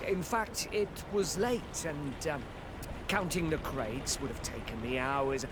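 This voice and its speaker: A nervous, high-pitched customs officer. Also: male voice, slow. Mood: flustered, defensive. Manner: hesitant, stammering, deferential. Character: evasive, nervous, beleaguered male customs officer. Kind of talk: video game cutscene acting. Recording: noisy outdoor ambience behind the voice.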